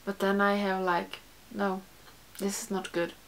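A woman's voice: medium pitch, level tone, unhurried, vocal fry, low-quality recording. A young woman, a non-native English speaker, chatting casually to camera in a small room, rambling and correcting herself with lots of "like". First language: not English. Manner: rambling, self-correcting, frequent "like". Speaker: beauty YouTuber. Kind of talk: casual chat to camera, answering a tag. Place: small room with makeup shelves, indoors.

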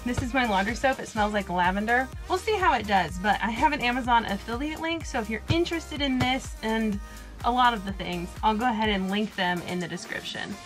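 A woman's voice: a little high, animated, fairly quick, soft background music. A woman in her thirties chatting upbeat to camera while packing inside a small camper van. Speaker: vlogger. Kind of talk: casual vlog narration.

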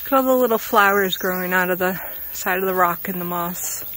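A woman's voice: lowish voice, brisk, bright, forceful tone, slight background noise. A woman vlogger chatting casually as she walks a wooded trail outdoors, pointing things out as she goes. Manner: chatty, easygoing, points things out while walking. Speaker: hiking vlogger.

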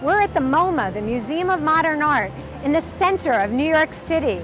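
A woman's voice: sits high, expressive, brisk. A middle-aged woman hosting on location with a handheld mic, bubbly and exclamatory as she interviews people and tours an exhibit. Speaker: host and interviewer. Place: outdoors on a city street and inside an exhibit. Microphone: handheld microphone. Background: music.

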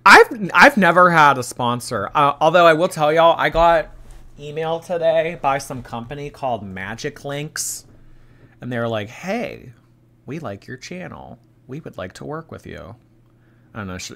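A man's voice: mid-range voice, moderate pace, creaky. A young man with a slightly stuffy, nasal voice, chatting casually on a live stream and reading donations. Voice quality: a little nasal. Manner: animated, laughing, reacting to chat.

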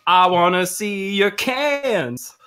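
A man's voice: sits high, expressive, slow pace, over a noisy crowd. A man reading comic material aloud in a mock-earnest way, mixed with casual banter. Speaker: podcast panelist reading documents aloud.

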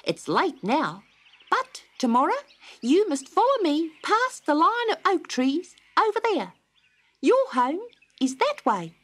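A woman voicing a small, chattering male wagtail bird with a bright, high voice. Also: wildly swooping pitch, slow, heavily acted. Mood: chatty.

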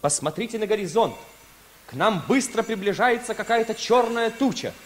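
An actor playing an alert, gently amused captain in an old radio play, with declamatory delivery. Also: male voice, high voice, moderate pace, close to an everyday voice. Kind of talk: mid-century Soviet radio play acting.

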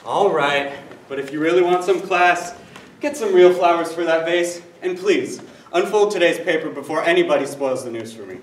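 A young man playing an excitable, teasing husband in a sitcom-style stage play. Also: fairly high pitch, normal speed, natural voice. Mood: casual, pleading. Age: young adult. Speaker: stage actor. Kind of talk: 1960s sitcom parody stage acting. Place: theater stage. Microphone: distant camera microphone. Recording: roomy, noisy distant stage recording.

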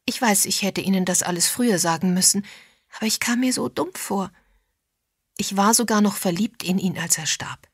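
A woman's voice: mid-range voice, normal speed, breathy edge, no echo, clean studio sound, pitched above the performer's own voice, lightly acted. A woman voicing an unhappily married woman who is embarrassed and confiding, earnest and confessional, in an audiobook. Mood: rueful, confiding.

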